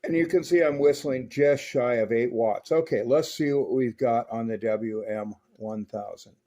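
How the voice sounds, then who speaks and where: A man hosting a hands-on equipment review, explaining technical details in a measured, step-by-step way. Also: mid-range voice, warm tone. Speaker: host of a radio equipment review channel. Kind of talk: product review and technical demonstration narration.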